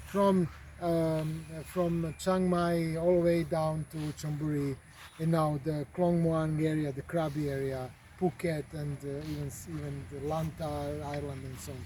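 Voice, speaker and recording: A man talks casually outdoors, his voice high and mellow. He stumbles over fillers and repeats words as he hunts for them, with faint open-air sounds behind him. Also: fairly even intonation, a little slow, a little hiss or hum. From Croatia. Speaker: traveler. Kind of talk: casual travel talk. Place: outdoors at a golf resort.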